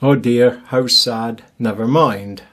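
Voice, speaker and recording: A man's voice: mid-range voice, takes it slowly, fairly bright tone. A man narrating his own seaside video in a casual, chatty way with wry asides. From Scotland. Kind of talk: casual voice-over commentary.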